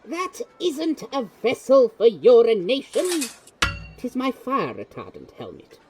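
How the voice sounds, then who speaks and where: A man's voice: very high-pitched, huge pitch swings, a little slow, background noise, heavily acted. A man playing a pompous, gung-ho medieval knight who speaks in mock-archaic style in a comic audio drama. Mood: pompous bluster.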